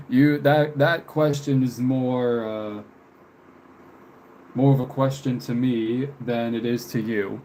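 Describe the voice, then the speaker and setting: Slow-paced young man with background noise. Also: medium pitch, fairly even intonation, darkish tone. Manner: halting, reflective, trailing off. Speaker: live streamer painting. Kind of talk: casual live stream chat answering viewers. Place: room with window blinds, indoors.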